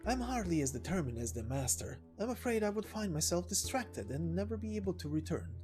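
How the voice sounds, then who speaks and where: Woman acting a formal, courteous companion, low-pitched. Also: very animated, fairly quick, a little mellow, soft background music. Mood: humble worry. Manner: polite, formal, wordy. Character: formal, courteous, doubtful companion addressing benefactors. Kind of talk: game story character voicing.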